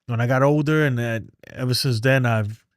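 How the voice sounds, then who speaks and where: A man's voice: medium pitch, normal speed, no room sound, clean studio sound. Blunt New man hosting a stream. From New York City. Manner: streetwise, blunt, reads chat aloud. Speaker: livestream host. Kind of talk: casual livestream chat. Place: home in Texas.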